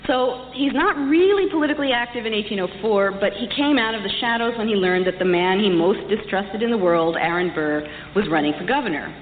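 A woman's voice: mid-range voice, fairly quick, hardly any pauses. A woman giving a prepared history lecture, speaking articulately and emphatically with a lightly wry touch. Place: large reverberant hall. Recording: echoey hall, muffled, some background noise.

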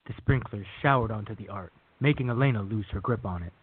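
A man's voice: fairly low pitch, fast talker, husky. A man narrating a radio play as its storyteller, reading from a script on a thin recording. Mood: measured storytelling. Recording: thin, phone-like audio.

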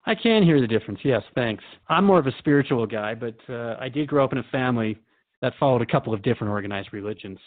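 Radio drama role: male actor as an inquisitive, wry talk-show host, natural conversational delivery, medium-pitched voice. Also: expressive, fast talker, phone-quality sound, lightly acted. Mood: curious. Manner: conversational, wryly questioning. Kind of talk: scripted radio drama interview.

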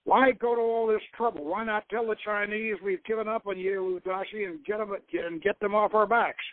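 A man playing a gruff, defiant professor and army major in a dramatic novel reading, blunt and snarling. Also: sits high, fairly even intonation, moderate pace, no echo, narrow, tinny audio, voice pushed up, natural voice. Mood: challenging.

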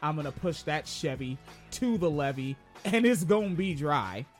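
A man with a high-pitched voice, casually co-hosting a live-streamed podcast, rambling, swearing and joking. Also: lively intonation, normal speed, soft background music. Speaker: podcast co-host.

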